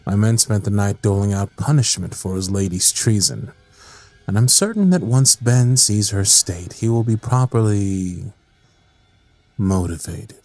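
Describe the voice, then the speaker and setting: A man playing a sadistic, mocking evil scientist in an audio drama, with a theatrical, measured delivery. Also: on the low side, lively intonation, darkish tone, no room sound, faint music behind. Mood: obedient, sinister.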